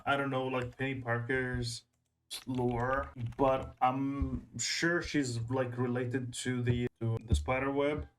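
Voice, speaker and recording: A man's voice: medium pitch, flat delivery, slight background noise, poor audio. Man giving rambling casual commentary. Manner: rambling, halting, repeats himself. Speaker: reaction video host.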